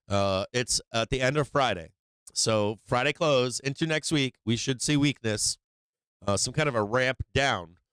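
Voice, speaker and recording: A man's voice: mid-range voice, a little slow, a bit bright, clear, resonant tone, no echo. A man hosting a live stock market stream, casual and slangy, rambling with many fillers and restarts, saying he is losing his voice.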